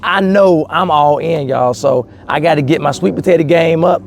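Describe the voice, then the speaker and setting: A man talking casually and excitedly to viewers as a gardening host, emphatic and repeating key words, recorded outdoors. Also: on the high side, moderate pace, creaky, a little hiss or hum. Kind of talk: casual, enthusiastic talk to camera.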